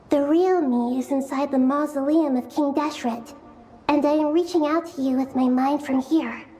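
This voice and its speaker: A woman voicing a gentle, childlike goddess in a video game, speaking politely and earnestly. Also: sits high, slight background noise. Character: gentle, childlike female deity, polite and wise. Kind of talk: scripted video game dialogue.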